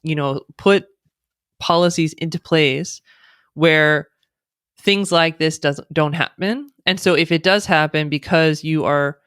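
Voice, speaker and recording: American woman guest, measured and explanatory, clean dry recording. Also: sits low, fairly bright tone, clear, resonant tone, dry recording. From United States. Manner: thoughtful, speaks in long explanatory runs with fillers. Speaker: guest, diversity consultant. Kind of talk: conversational interview.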